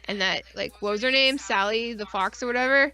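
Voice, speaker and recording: A woman's voice: fairly high pitch, brisk, pushed and bright. A woman co-hosting a casual live stream, bantering in an irreverent, rambling, sweary way. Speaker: podcast co-host on a live stream.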